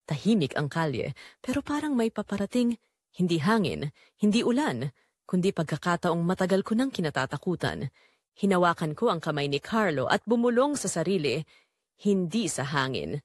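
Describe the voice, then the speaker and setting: A woman's voice: on the low side, big pitch swings, moderate pace, darkish tone, dry recording, clean studio sound. A woman narrating a dramatic first-person story in an emotive way.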